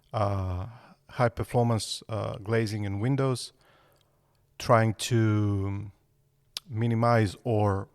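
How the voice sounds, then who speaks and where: A man's voice: on the low side, no echo, clean studio sound. A man, answering podcast interview questions hesitantly with many fillers. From Serbia. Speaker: guest architect.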